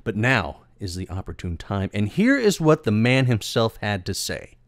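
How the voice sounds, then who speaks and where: Lowish voiced guy telling a scripted story, kinda theatrical and wordy, super dry studio sound. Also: big pitch swings, moderate pace, dry recording. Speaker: video creator and voice actor.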